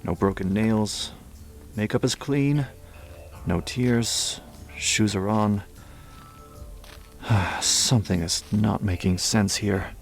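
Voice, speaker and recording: A man acts out a hard-thinking male detective in a horror audio drama. His voice is low and gravelly, and he talks in terse, clipped lines over faint music. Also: slow, long pauses. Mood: brooding, puzzled. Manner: terse, clipped, brooding sentences. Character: puzzled, brisk male police detective in charge.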